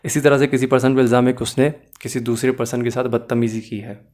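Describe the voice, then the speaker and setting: A man's voice: medium pitch, little pitch movement, fast, darkish tone. A man giving an explanatory lecture as a teacher, in a didactic way, mixing in English phrases and often checking that listeners follow. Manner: mixes English phrases into Hindi-Urdu. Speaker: law teacher.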